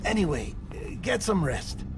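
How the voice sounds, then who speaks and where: A man acting an earnest, clinical middle-aged technician in a video game, explaining things in a measured, slightly hesitant way. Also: high-pitched, sing-song, over music, poor audio. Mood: reassuring, apologetic.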